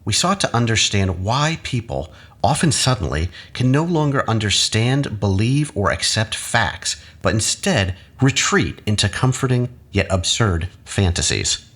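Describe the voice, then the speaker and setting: An actor playing a manipulative academic husband in an audio drama, measured, coaxing and patronizing. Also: male voice, on the low side, lively intonation, a bit bright, slightly rough, full character voice. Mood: reminiscing, lecturing. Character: manipulative husband, an academic doctor, calmly condescending and persuasive.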